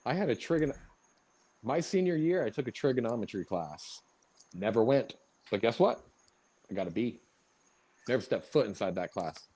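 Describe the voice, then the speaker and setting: A man's voice: mid-range voice, lively intonation, moderate pace, pauses often, a little mellow. A man chatting casually as a show host, emphatic and mock-indignant about food. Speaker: live chat host. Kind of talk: casual banter.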